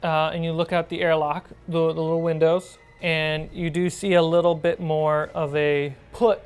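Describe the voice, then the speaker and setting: A woman's voice: low voice, a little mellow. A woman narrating a tabletop horror game as game master in an informal, sweary way. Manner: profane, informal.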